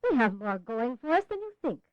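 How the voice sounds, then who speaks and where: A woman's voice: on the high side, big pitch swings, brisk, no echo. Spirited patriotic colonial lady, gracious, earnest, formal speech, history audio drama, slightly dull lo-fi sound.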